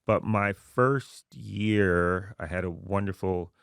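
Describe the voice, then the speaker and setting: Middle-aged male podcast guest, low and gravelly, relaxed storyteller. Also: takes it slowly, no echo, studio quality. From born in Haiti, raised in Queens, New York. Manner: relaxed, reflective, many fillers. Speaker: podcast guest, university dean. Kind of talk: casual interview answers.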